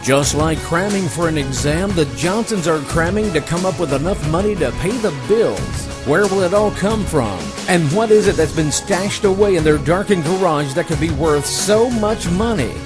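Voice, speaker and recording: A man's voice: fairly high pitch, animated, normal speed, few pauses. A man announcing a radio drama episode with scripted, dramatic narration. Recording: music under the voice. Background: music.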